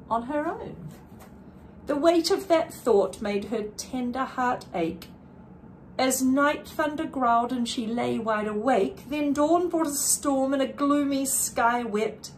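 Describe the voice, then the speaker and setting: Female picture-book reader: expressive and deliberate, higher-pitched, unhurried, with light noise behind. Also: poor audio. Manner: deliberate, dramatic emphasis with brief explanatory asides. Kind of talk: expressive read-aloud of a rhyming picture book.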